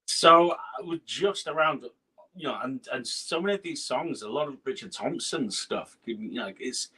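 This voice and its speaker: A man's voice: mid-range voice, lively intonation, moderate pace, breathy edge, low-quality recording. A middle-aged man with a northern English accent, a guest chatting casually on a video call, hesitant with many fillers and restarts. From Scotland. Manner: hesitant, lots of fillers and restarts, soft laughs. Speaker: guest musician. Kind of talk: casual interview chat.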